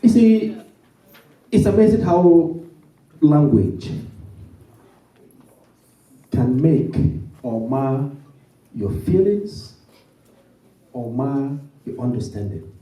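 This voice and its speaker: A man's voice: medium pitch, big pitch swings, very slow, long pauses, dark tone. A man working as master of ceremonies, making announcements to an audience with swooping emphasis. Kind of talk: event hosting and announcements. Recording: echoey hall, mic from a distance.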